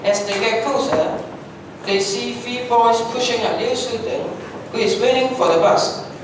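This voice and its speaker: Echoey, distant young woman narrating slowly. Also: on the high side, steady tone. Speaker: narrator in a readers' theatre. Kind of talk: scripted story narration read aloud on stage. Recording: very echoey hall, distant mic, noisy.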